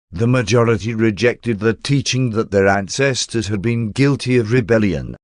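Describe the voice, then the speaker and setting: A man reads aloud in the style of a formal audiobook. His voice sits on the low side, and the delivery is measured and expository. The sound is dry, with little room around it. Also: no room sound. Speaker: audiobook narrator. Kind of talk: scripted narration, reading a religious text aloud.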